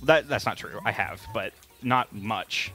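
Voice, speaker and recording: A man's voice: on the low side, expressive, measured pace, bright, forceful tone, husky, soft background music. A man bantering casually as he plays a video game, blunt and teasing, swearing freely. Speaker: gaming video co-host and player. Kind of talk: casual banter over gameplay.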